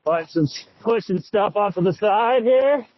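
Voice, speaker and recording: A man with a somewhat high voice chats casually with a friend. He speaks into a helmet intercom while outside on a mountain, keeping it loose and informal. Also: expressive, a little slow, no echo, dull audio. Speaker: skiing companion. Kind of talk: casual chat over intercom. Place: outdoors on a ski mountain. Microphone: helmet intercom headset.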